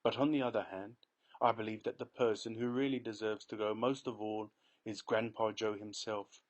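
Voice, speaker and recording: A man voicing a mild, thoughtful father in a storybook, speaking gently and hesitantly. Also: on the low side, level tone, moderate pace, close to an everyday voice. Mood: gentle.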